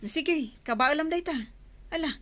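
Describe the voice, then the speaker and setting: A woman's voice: high-pitched, lively intonation, normal speed, fairly bright tone. A woman with a northern Philippine accent playing a kindly, encouraging elder sister in a village comedy radio drama.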